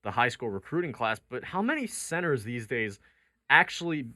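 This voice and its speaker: A man's voice: medium pitch, very animated, normal speed, sharp tone, no echo, clean studio sound. A man hosting sports talk, reasoning conversationally through counterpoints in a basketball debate. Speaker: sports radio talk show host.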